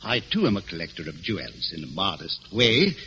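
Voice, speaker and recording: Eager gentleman client, vintage radio mystery. Lively, swooping delivery, formal but hesitant, with noise on the old recording. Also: deep voice, a little fast, lo-fi sound. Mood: earnest, uneasy. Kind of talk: 1940s American radio drama acting.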